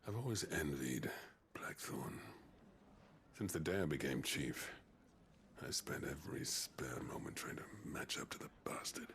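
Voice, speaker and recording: An actor playing a blunt, grudge-bearing older blacksmith chief in a video game, with a gravelly voice. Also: male voice, rumbling low, sing-song, a little slow, fairly bright tone, whispered, heavily acted. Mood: bitter, grudging. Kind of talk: dramatic video game dialogue. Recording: music under the voice.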